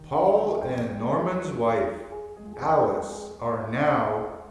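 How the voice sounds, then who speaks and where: A man's voice: medium pitch, animated, extremely slow. A man reading a scripted introduction as the announcer of a radio play. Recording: echoey, distant, music behind.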